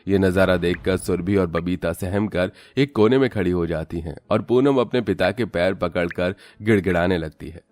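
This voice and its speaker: A man's voice: low voice, moderate pace, few pauses, a little mellow, soft background music. A man reading scripted narration for a dramatic story in a storyteller's manner.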